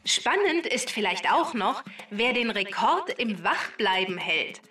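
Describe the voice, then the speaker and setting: Talking database for kids' podcast: bright, factual, faintly smug, roomy sound with music behind. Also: female voice, a little high, very animated, measured pace, full character voice. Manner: matter-of-fact, precise explaining. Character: talking female database, factual, slightly conceited robot helper. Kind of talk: scripted explainer for a children's podcast. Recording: roomy echo, distant, music underneath.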